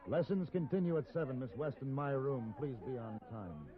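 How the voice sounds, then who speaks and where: An actor playing a calm, polished gentleman gunfighter in a 1950s radio drama, speaking in a measured, commanding way. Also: medium pitch, loud music behind the voice, a loud crowd, natural voice. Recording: muffled old radio recording with clear hiss.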